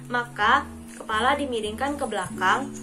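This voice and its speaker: A woman's voice: on the high side, moderate pace. A young woman giving scripted, step-by-step procedural instruction. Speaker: student demonstrating dental radiography procedure. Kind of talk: instructional narration and scripted patient explanation. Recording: loud music behind the voice. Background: loud background music.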